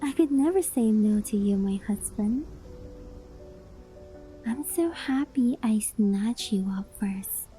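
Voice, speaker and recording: Woman as adoring kitsune wife for ASMR roleplay: very mellow, unhurried, shy, affectionate, whispered touches, music behind. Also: fairly high pitch, expressive. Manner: coy, hesitant, affectionate, softly breathy with whispered phrases. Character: doting, clingy, obsessive young kitsune fox-spirit wife. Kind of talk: ASMR roleplay, intimate sleep-aid monologue.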